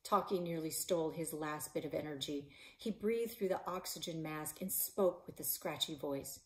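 A woman in her forties, a librarian and author, reading her children's novel aloud in a living room, voicing the characters with shouts and whispers. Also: low voice, normal speed, rough recording. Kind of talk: children's book read-aloud. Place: living room in front of a stone fireplace.

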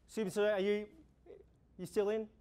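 A young man with an Australian accent, an auctioneer running a practice auction, calling bids in a steady repeating rhythm. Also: high voice, fairly quick, clear, resonant tone. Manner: rhythmic, repetitive bid calling, gestures while talking. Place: indoor room before a projection screen.

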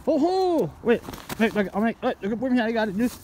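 A chatty man in his forties talks to his viewers while filming on a frozen lake, and his voice sits fairly high and swings up and down a lot. Clear wind and outdoor noise run under his phone-camera audio. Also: sing-song, fast talker. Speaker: magnet fishing vlogger. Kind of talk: casual vlog chat. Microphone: phone camera held by the speaker.

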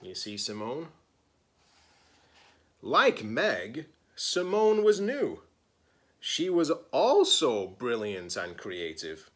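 A man's voice: medium pitch, huge pitch swings, drawn-out words, pauses often, fairly bright tone. A man in his forties, a teacher-librarian, reading a children's picture book aloud expressively, stressing key words and adding casual asides.

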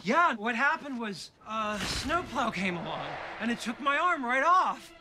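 A man's voice: very high-pitched, very animated, unhurried, heavily acted. An actor playing a cheery, oddly upbeat hotel bellhop who laughs as he speaks, in a film comedy with music behind him. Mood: chipper. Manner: chipper, laughs while talking, oddly upbeat. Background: film score music.

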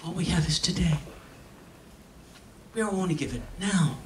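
An older man performing a dramatic reading on stage in a solemn, theatrical manner with long pauses. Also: high-pitched. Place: stage in an auditorium. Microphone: stand microphone heard from a distant camera. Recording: echoey hall, distant camera, faint noise.

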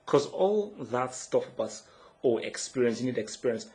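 A man's voice: medium pitch, very animated, normal speed, a little mellow. A young man from Nigeria giving a frustrated, emphatic solo football analysis, often restarting his words as he vents. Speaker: football blogger. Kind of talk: solo match analysis rant.

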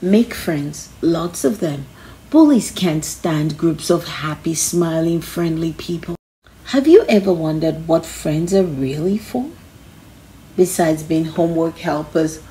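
A woman's voice: low voice, warm tone, slight background noise, low-quality recording. A woman reading a children's self-help book aloud in an instructive way, stressing key phrases.

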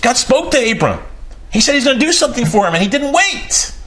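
A man's voice: very animated, brisk, a bit bright. A man in his forties preaching a sermon in a small room, emphatic and repeating key phrases for weight. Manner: emphatic, repeats key phrases, pitch rises and falls sharply.

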